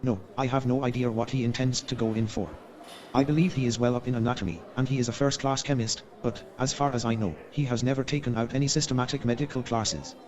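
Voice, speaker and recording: An actor playing a courteous, gossipy Victorian gentleman in a staged radio play, formal and measured in his hesitant explanations. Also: mid-range voice, steady tone, highs cut off, natural voice. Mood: courteous, hedging.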